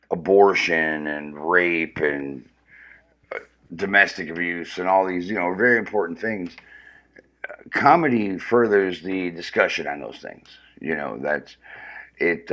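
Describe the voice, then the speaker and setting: Deep-voiced man ranting profanely. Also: a bit bright. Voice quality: powerful voice. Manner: profane, rambling, restarts often. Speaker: comedian livestreaming. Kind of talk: casual comedic rant.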